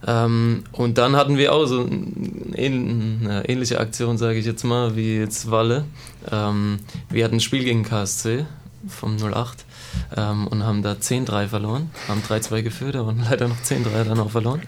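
A man in his late twenties talks about himself in a relaxed, chatty way, full of small fillers. His pitch sits in the middle. Faint noise hums behind him. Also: normal speed, hardly any pauses. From Germany. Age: 20s. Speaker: guest footballer. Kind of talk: casual interview talk.

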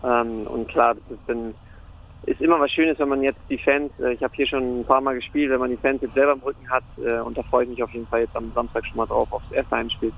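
Gravelly, flat-voiced young man. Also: medium pitch, fast talker, dull audio. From Germany (Hamburg). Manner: hesitant, many fillers. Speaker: football player. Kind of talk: casual interview answers.

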